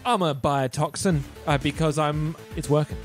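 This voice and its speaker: A man's voice: on the high side, normal speed. A man playing a tabletop game, chatting casually with a wry, playfully theatrical way of talking. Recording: music playing behind the voice. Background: music.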